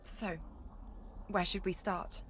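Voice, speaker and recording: A woman's voice: medium pitch, level tone, slow pace, fairly bright tone, slight background noise. An actress playing an anxious, earnest young woman adventurer in a video game, hesitant and emotional. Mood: uneasy.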